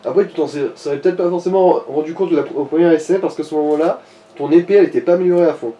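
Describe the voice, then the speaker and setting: A man's voice: fairly high pitch, rapid-fire, warm tone. A man giving colloquial, slangy commentary as co-host of a gaming session. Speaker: let's play co-host. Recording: distant mic, faint background noise.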